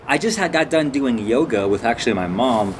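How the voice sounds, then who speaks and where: A man's voice: medium pitch, expressive, a little fast. Guy chatting to camera, keeps restarting sentences, lots of background noise. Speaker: vlog host. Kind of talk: casual storytelling to viewers. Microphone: camera on a phone or camera nearby. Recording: noisy background.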